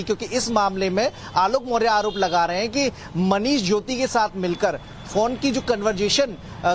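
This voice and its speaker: A man's voice: high voice, a little hiss or hum. A man giving a live news update as a correspondent.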